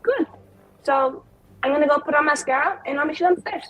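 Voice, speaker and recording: A woman's voice: high voice, fairly quick, fairly bright tone, no room sound, pitched above the performer's own voice, poor audio. A woman playing a cocky, spiteful, foul-mouthed female mage in an improvised tabletop roleplay, with brash slangy delivery. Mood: smug.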